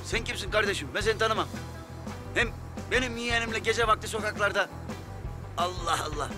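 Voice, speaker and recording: An actor playing an angry, protective uncle who scolds with reproach and sarcasm in a TV drama. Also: male voice, high voice, brisk, close to an everyday voice. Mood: angry scolding. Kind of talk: Turkish TV drama acting. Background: dramatic background music.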